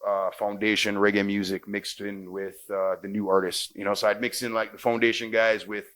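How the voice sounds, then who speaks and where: A man with a Jamaican accent and a deep, smooth voice, an actor and radio host chatting casually in an interview with many "you know"s. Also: level tone, a little fast, fairly bright tone.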